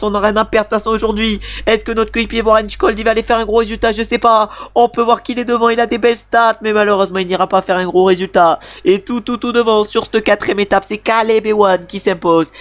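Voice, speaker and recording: Fast-talking French man commenting a game. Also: a little high. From France. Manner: fast, chatty, frustrated asides about his team leader. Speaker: video game player commenting a cycling career mode. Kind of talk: casual gameplay commentary.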